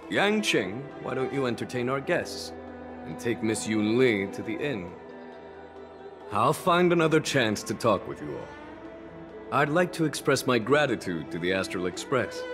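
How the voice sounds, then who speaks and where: A commanding but courteous general in a video game. The voice is fairly low and moves expressively. He speaks formally and diplomatically, with faint music behind him. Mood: gracious.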